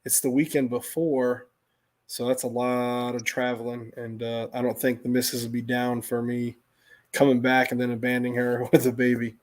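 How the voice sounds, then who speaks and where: A man's voice: mid-range voice, little pitch movement, moderate pace. Rambling middle-aged American man chatting. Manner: rambling, self-interrupting storytelling. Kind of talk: casual livestream chat.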